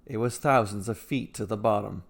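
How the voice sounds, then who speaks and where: A man reading a scripted fantasy short story as a storyteller, with dramatic, deliberate phrasing. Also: fairly low pitch, gravelly.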